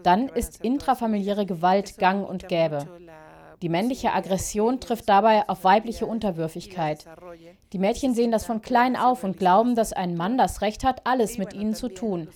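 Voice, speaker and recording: A woman voicing the dubbed translation of an interview, explaining slowly and deliberately. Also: mid-range voice, darkish tone. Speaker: voice-over translator. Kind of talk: voice-over dubbing of an interview.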